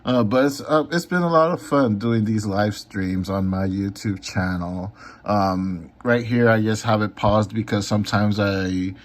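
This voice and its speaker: A man talking casually in a vlog about his comics and live streams, unhurried, with many ums. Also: on the low side, steady tone. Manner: unhurried, lots of ums and filler. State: a little hoarse. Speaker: comic artist and YouTuber.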